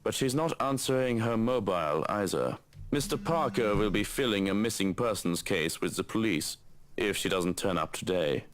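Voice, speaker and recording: A man's voice: lowish voice, lively intonation, moderate pace, close to an everyday voice. A man voicing a sly male servant with a mock-formal, teasing manner and a light German flavor. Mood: matter-of-fact.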